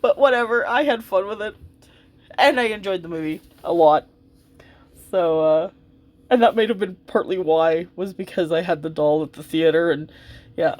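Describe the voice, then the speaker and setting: A woman's voice: fairly low pitch, moderate pace, darkish tone. A woman giving casual, chatty hobby commentary in an informal, rambling and effusive way. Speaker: doll hobbyist vlogger. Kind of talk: casual, chatty commentary while dressing a doll.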